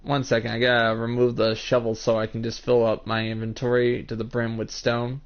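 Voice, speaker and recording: A man's voice: mid-range voice, completely flat delivery, a little fast. A man in his twenties giving casual commentary while streaming a building game, explaining each step and talking to chat viewers by name. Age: 20s. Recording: muffled lo-fi stream mic.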